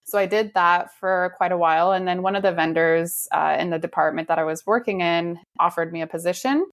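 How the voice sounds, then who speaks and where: Relaxed, lower-voiced woman realtor. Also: fairly quick. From United States. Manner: relaxed, laughs lightly, many ums. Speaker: realtor guest. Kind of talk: casual interview chat.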